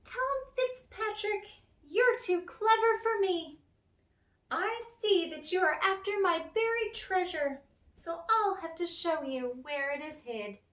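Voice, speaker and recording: A very high, swooping voice in a roomy recording, a woman playing a tiny old leprechaun man from a storybook. He is crafty and cranky, with a sharp, folksy way of talking. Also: animated, slow pace, exaggerated voice. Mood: resigned, crafty. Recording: roomy, echoey, distant microphone.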